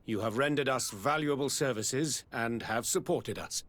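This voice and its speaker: A man's voice: medium pitch, normal speed, a bit bright, close to an everyday voice. A man playing a stern, dignified ruler in a video game cutscene, speaking in a formal, firm and stately way. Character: stern, dignified male ruler, sceptical but trusting ally.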